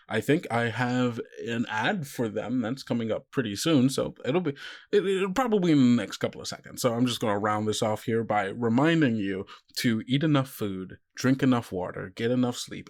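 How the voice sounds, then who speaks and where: Male tabletop host, medium pitch, halting improvised delivery, thinking aloud. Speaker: game master and podcast host. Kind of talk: improvised tabletop game narration.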